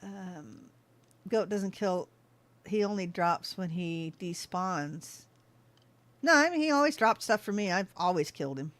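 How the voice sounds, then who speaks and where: A woman's voice: lowish voice, animated, unhurried, fairly bright tone, dry recording. A woman streaming a game, chatting casually with her viewers, easily sidetracked and laughing often. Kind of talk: casual livestream chat while playing.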